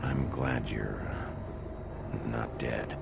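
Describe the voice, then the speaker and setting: An actor playing a gruff, brooding detective sheriff in a video game, with a gravelly voice and terse, blunt manner. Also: male voice, a bass voice, very slow, heavy background noise, exaggerated voice. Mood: subdued relief.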